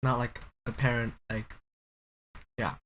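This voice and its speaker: A man's voice: medium pitch, flat delivery, a little slow, fairly bright tone, a little hiss or hum. A man co-hosting a podcast, chatting casually in a loose, slangy way. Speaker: podcast co-host.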